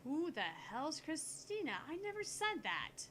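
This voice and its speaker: A woman voicing a sharp-tongued, easily indignant young female genius scientist in a visual novel, curt and sarcastic. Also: sits high, big pitch swings, measured pace, voice pushed up, exaggerated voice. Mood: irritated. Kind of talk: visual novel character reading. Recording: noticeable background noise on home mic.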